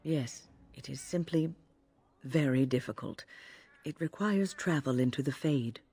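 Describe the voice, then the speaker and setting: An actress playing a composed, wise older female mage in a video game, measured, formal and gently wry. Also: low voice, breathy edge, faint music behind. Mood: calm, instructive.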